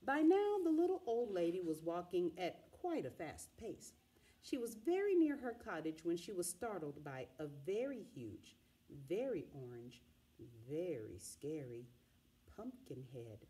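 Mellow middle-aged woman reading a storybook. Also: fairly low pitch, sing-song. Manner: dramatic, with repeated drawn-out sound words. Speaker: teacher reading a picture book aloud. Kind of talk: expressive children's storybook read-aloud. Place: small indoor room with wall cards and pillows.

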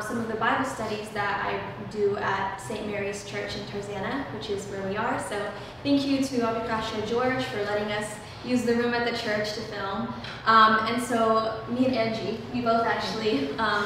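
A young woman of eighteen hosts a casual discussion with earnest warmth, dropping plenty of "um"s as she goes. Her voice sits a bit high and comes from a distance, in a roomy space with a long echo tail. Steady background noise sits under her speech. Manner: earnest, many "um" fillers. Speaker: host. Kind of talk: casual discussion, leading a prayer. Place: church room, small group at a table. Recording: echoey room, heard from a distance, background noise.

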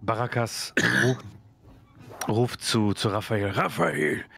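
A man's voice: medium pitch, slow, vocal fry, a bit bright. A man playing a tabletop role-playing game, speaking casually in and out of character. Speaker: player in tabletop role-play.

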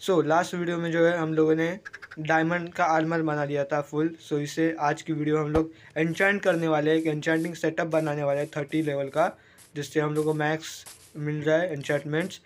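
A man, hoarse from a cold, chatting casually as he narrates his own game play and mixes English words into Hindi. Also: fairly high pitch, level tone, fairly quick, a little hiss or hum. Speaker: gaming YouTuber.

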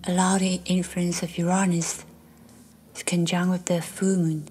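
A woman guiding a meditation in a soft, breathy, half-whispered voice, speaking gently in measured short phrases with long pauses. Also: lowish voice, little pitch movement. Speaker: meditation guide. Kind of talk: guided meditation, slow scripted narration. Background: faint background music.

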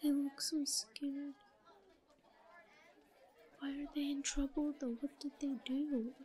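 A woman performs a shy yandere schoolgirl in an audio roleplay, obsessive and quietly scheming. Her voice is fairly high and breathy, often sinking into whispers. She mutters to herself slowly and haltingly, with a faint murmur of a crowd behind her. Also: level tone. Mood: anxious, curious. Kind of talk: roleplay audio drama monologue. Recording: low-quality recording with faint crowd murmur.

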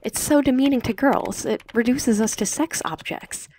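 A woman's voice: on the high side, normal speed, darkish tone, pitched above the performer's own voice, natural voice. A woman voicing a judgmental, prim teenage schoolgirl in an audiobook, indignant in manner. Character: disapproving, prim teenage schoolgirl typing online. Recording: slightly noisy home recording.

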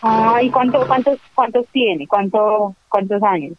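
A woman's voice: a little high, unhurried, dull audio, background noise. A woman talking casually on the phone as a call recipient.

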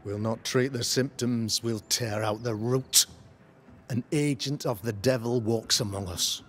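An actor playing a pompous, pious, middle-aged preacher in a video game, with florid, oratorical delivery. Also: male voice, medium pitch, unhurried, a bit bright, slight background noise, lightly acted. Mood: grave, urgent. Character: pompous, pious preacher, learned man of the cloth. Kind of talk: theatrical video game acting, sermonizing.

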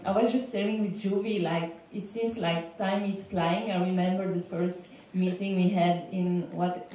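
A young woman with a Belgian accent giving an earnest, halting semi-prepared speech on a panel as a legal consultant. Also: mid-range voice, mellow. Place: indoor lecture room panel. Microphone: handheld microphone, recorded by far camera. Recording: echoey room, distant, faint background noise.

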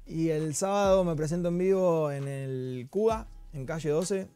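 A man's voice: on the high side, unhurried, warm tone, faint music behind. A man in his thirties with an Argentine accent, a rapper guest talking about upcoming shows in a laid-back way. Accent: Argentine Spanish. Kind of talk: casual interview answers. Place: TV studio set.

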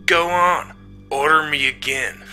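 A man acts out a spiteful, mutinous spaceman in a science fiction drama. He is sardonic and goading, with a sharp, forceful edge. Faint music runs behind. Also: on the high side, measured pace, voice pushed up. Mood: angry, taunting. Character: bitter, mutinous male spaceman, taunting and spiteful.

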